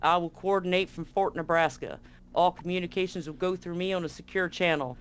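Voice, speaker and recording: A man playing a gruff, profane Marine commander briefing a squad in a tabletop game, blunt and commanding. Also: sits high, steady tone, a little fast, fairly bright tone. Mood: stern briefing.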